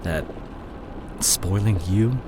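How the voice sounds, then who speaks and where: A man's voice: lowish voice, extremely slow, very noisy. A man playing a fallen, evasive CEO boyfriend in an intimate, dramatic roleplay audio drama. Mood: tender, confessing. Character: cheating fallen CEO boyfriend, smooth-talking, evasive, image-obsessed.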